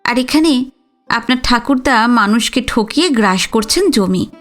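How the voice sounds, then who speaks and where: An actress playing an educated young daughter-in-law in an audio story, respectful but probing, with a polite, pointed, articulate delivery. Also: female voice, on the high side, expressive, unhurried, a bit bright, faint music behind. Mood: challenging. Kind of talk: Bengali audio story acting.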